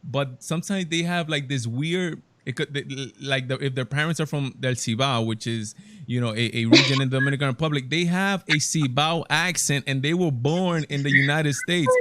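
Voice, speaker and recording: A young man hosting a casual discussion, talking in animated bursts and often repeating words as he gets going. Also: mid-range voice, normal speed, no echo. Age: young adult.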